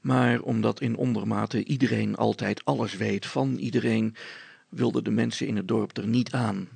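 Very gravelly male storyteller. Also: lowish voice, fairly even intonation, normal speed, darkish tone, no room sound. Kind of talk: scripted children's story narration.

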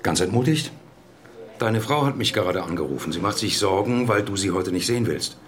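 An actor playing a brisk, paternal middle-aged doctor in a radio drama, gravelly and admonishing as he explains things to a patient. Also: sits low, animated. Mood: breezy, concerned.

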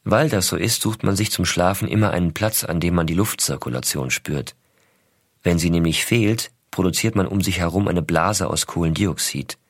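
A man playing an astronaut father reading his letters to his young son, measured and intimate, explaining things simply as to a child. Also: low voice, dry recording, studio quality. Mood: calm, hushed. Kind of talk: scripted narration, letters read aloud.

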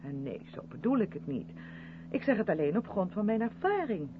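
An actress playing a gushing, over-polite woman in a radio drama, quick to defend herself and faintly condescending. Her voice swings lively up and down. It sounds thin like a phone line, with a loud engine hum behind it. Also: medium pitch, lightly acted. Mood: flustered. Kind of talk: radio play dialogue in a moving car.

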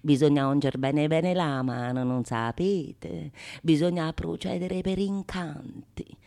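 A woman acting a sly conjurer in a radio drama, with a hushed, insinuating, drawn-out theatrical delivery. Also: sits low, fairly even intonation, gravelly, heavily acted. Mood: sly, coaxing. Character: sly conjurer peddling incantations for coins. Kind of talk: stage monologue radio drama.

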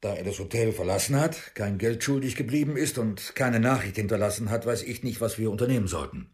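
A man acting a police inspector in a radio crime play. He speaks in a fairly low voice, procedural and unmoved, brushing concerns aside in a businesslike way. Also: a little fast, lightly acted. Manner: businesslike, dismissive. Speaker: actor playing a police inspector. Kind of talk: German crime radio drama dialogue.

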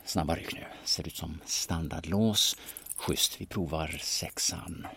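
A man's voice: very deep voice, gravelly, bright, forceful tone, slight background noise, full character voice. A man playing a shifty, scheming auction agent in an audio drama, speaking in a hesitant, colloquial way. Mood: sneaking, scheming. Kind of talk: audio drama acting with muttered inner monologue.

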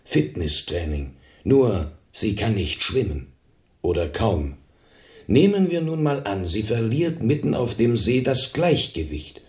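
An actor playing a cold, calculating plotter in a German radio drama, insinuating with feigned innocence. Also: male voice, on the low side, sing-song, unhurried, a little mellow. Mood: coolly calculating.

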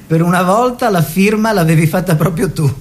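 A man's voice: fairly quick, natural voice. An actor playing a theatrical man of the arts world, declamatory and ironic, in a radio drama style. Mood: conversational. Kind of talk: theatrical narration and dialogue for radio. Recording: radio play with faint noise and rumble.

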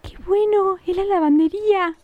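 Woman acting an excitable, very high-voiced Argentine girlfriend. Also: normal speed, phone-quality sound. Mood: excited. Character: excitable, fussy young woman, starstruck sidekick on an escapade. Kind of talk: amateur radio play acting. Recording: faint noise with clicks.

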